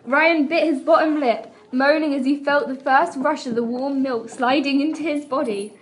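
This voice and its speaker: A young woman with a high voice reads fan fiction aloud as an amateur. She performs it as a dramatic reading. Her delivery is giggly and prone to breaking up. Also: steady tone, moderate pace. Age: young adult. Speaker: amateur reader. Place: room at a school.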